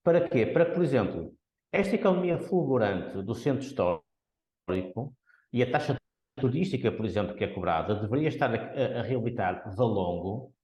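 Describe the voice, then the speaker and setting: A man from Porto with a Porto accent, an economist guest on a podcast, explaining his views in a measured way with frequent restarts. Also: medium pitch, animated, mellow, reverberant, poor audio. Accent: Portuguese, Porto. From Porto, Portugal. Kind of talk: podcast interview.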